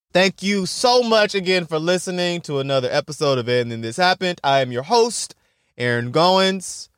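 Young guy w a stutter rambling on his podcast, laughing n cursing, kinda high voice, dead dry sound. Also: a bit bright, dry recording. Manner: laughing, profane, self-mocking. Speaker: podcast host. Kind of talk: casual solo podcast rambling.

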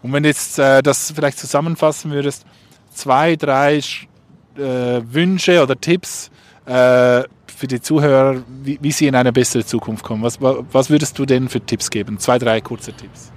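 A man hosting an informal street interview, asking questions in halting, searching phrases. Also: medium pitch, steady tone. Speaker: podcast host, interviewer. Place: outdoors on an urban street. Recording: faint urban street and traffic noise.

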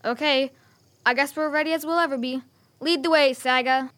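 A woman's voice: high voice, fairly even intonation, normal speed, fairly bright tone. A woman playing an eager, adventurous child in a children's fantasy audio drama. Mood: ready, resolute.

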